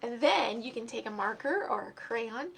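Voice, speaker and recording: A woman hosting a children's storytime, expressive and speaking directly to young listeners as she reads and explains step by step. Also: fairly high pitch, normal speed, gravelly, a little hiss or hum, poor audio. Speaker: storytime host and reader for children. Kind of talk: animated storybook reading and craft instruction for children.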